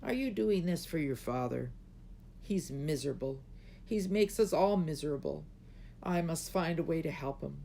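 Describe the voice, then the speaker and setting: Young storybook princess, played by a male reader: timid, hopeful, raised pitch, some noise. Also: animated, moderate pace, vocal fry, warm tone. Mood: sad, earnest. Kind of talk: children's storybook read aloud.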